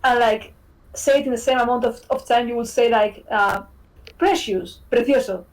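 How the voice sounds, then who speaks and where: A fairly high-voiced woman whose first language is Spanish talks as a guest on a casual podcast. She is lively and tosses in "right?" and "like" constantly. She swears casually as she goes. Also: normal speed, rough recording. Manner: animated, fillers like "right?", swears freely. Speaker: game developer guest.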